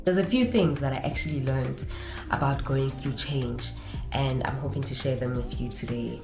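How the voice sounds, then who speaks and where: A woman's voice: rumbling low, moderate pace, echoey room, over music. A young woman vlogger sharing casual life advice to camera, sounding nasal and congested from a lingering infection.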